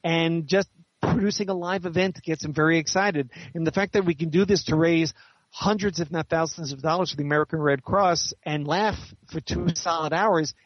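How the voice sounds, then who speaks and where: A man's voice: fairly high pitch, moderate pace, no room sound, highs cut off. A man being interviewed as a guest author, chatting casually and explaining things at length.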